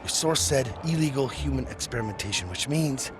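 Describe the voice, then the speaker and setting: An actor playing a jaded, cocky middle-aged photojournalist with a gruff, sarcastic manner in a video game cutscene. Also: male voice, mid-range voice, animated, brisk, over music, lightly acted. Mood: stern, instructing. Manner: sarcastic, gruff, swearing, bossy.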